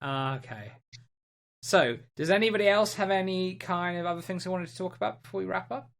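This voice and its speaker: A man co-hosting a casual gaming podcast, chatting in an excitable, jokey way. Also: high-pitched, fairly quick, creaky. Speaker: podcast co-host.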